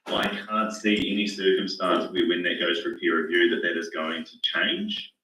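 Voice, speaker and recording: A man recovering from a cold, his voice hoarse, reporting cautiously to a council meeting with many restarts. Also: low-pitched, steady tone, moderate pace, sharp tone, a little hiss or hum. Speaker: financial modelling consultant. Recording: roomy, echoey, distant from the mic.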